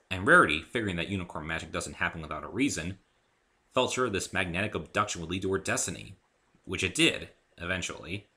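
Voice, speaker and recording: A man's voice: lowish voice, sharp tone. A man giving a dramatic reading of a first-person story as an amateur narrator. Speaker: amateur author reading own story aloud.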